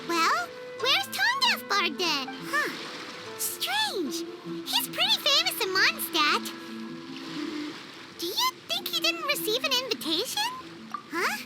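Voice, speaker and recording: A woman voicing a tiny, cheerful floating fairy companion in a video game, with a squeaky childlike voice and a perky manner. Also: full character voice. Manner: perky, refers to self by name. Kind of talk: video game cutscene dialogue.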